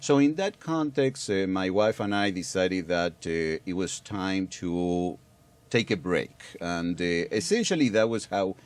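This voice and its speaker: A man's voice: sits low, lively intonation, measured pace. A middle-aged man from Argentina, a guest on a public affairs program, explaining at length with hesitations and restarts. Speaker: guest expert.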